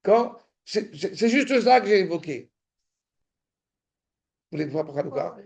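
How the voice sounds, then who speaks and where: Male class teacher, high gravelly voice, stop-start delivery that checks in with the room. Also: expressive, a little mellow. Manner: halting, repeats words, checks in with listeners; turns insistent and indignant. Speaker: Torah teacher. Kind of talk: lecture in a study class.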